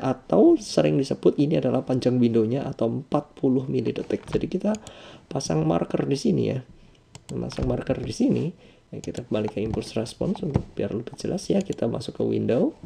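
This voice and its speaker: A man with an Indonesian accent narrating an explanatory software tutorial as an instructor, hesitating and rephrasing key terms as he goes. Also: mid-range voice, vocal fry, slightly rough. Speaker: audio engineering instructor.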